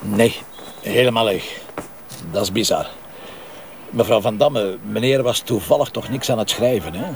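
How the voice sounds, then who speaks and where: A man's voice: on the low side, slight background noise, lightly acted. A man playing a brusque, impatient Flemish police commissioner who questions witnesses in a detective drama. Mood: probing. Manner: brisk, probing, exasperated with subordinates. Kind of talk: naturalistic detective drama dialogue.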